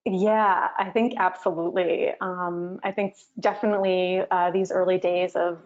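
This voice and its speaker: A young woman speaking earnestly and hesitantly as a guest, often pausing on fillers. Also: lowish voice, little pitch movement. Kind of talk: casual conversation. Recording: slightly muffled audio.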